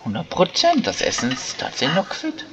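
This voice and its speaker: A man's voice: on the high side, wildly swooping pitch, a little fast, dull audio. A man giving casual let's play commentary, thinking aloud in colloquial speech. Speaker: gaming video creator. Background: game music.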